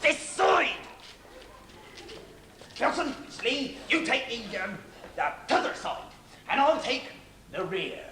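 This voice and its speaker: Brutish comic pirate captain, played by a man, high-pitched and slow, barking orders in an amateur panto. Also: sing-song, full character voice. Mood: commanding. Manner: loud, declamatory, ordering his crew about. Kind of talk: amateur stage pantomime acting. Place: theatre stage. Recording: noisy, echoey 1980s stage recording.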